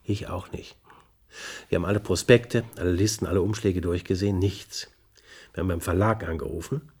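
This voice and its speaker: Husky, low police investigator. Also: male voice, fairly even intonation, brisk, darkish tone. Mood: matter-of-fact. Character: dry, probing male police investigator questioning a man. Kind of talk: German radio play dialogue.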